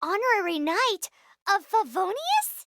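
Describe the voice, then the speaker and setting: Tiny girl companion, extremely high, squeaky, childlike, bouncy intonation, excitable, game cartoon style. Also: a little slow, gravelly, noisy background, exaggerated voice. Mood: excited, insistent. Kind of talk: video game voice acting.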